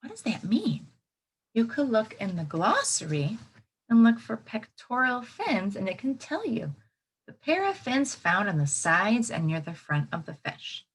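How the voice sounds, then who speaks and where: Animated woman reading aloud to children. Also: on the high side, a little slow, slightly rough, low-quality recording. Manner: expressive, simple explanations addressed to young children. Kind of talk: children's read-aloud with explanatory asides.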